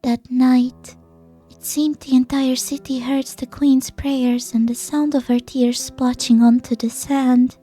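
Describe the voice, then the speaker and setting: A woman voicing a gentle young girl who solemnly retells a fairy tale in an amateur game fan dub, hushed and measured. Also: high-pitched, level tone, soft background music. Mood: solemn, wistful.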